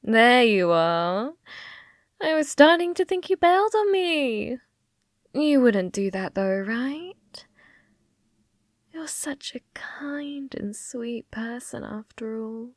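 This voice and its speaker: A woman playing a possessive, manipulative schoolgirl childhood friend in an ASMR roleplay, coy and teasing, with breathy, sweetly menacing delivery. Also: a little high, very animated, no echo. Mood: teasing, suspicious. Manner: coy, teasing, drawn-out phrasing dropping into breathy whispers.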